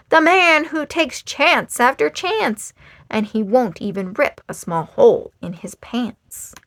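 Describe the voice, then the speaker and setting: A woman reading a rhyming children's book aloud in a theatrical, expressive and playful storytime manner. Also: a little high, no echo, studio quality. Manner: dramatic, expressive, playful emphasis.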